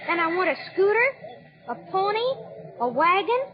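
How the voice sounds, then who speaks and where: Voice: very high-pitched, sing-song, moderate pace. A child actor playing an eager, stubborn little boy in a 1940s radio drama, on a muffled old recording. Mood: earnest, insistent.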